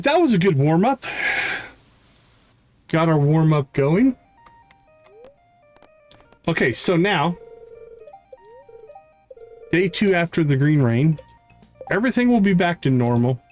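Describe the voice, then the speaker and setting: Unhurried male game streamer over soft music. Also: fairly high pitch, big pitch swings. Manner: talks to himself in short remarks, murmuring repeated words as he thinks. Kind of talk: casual live gameplay commentary.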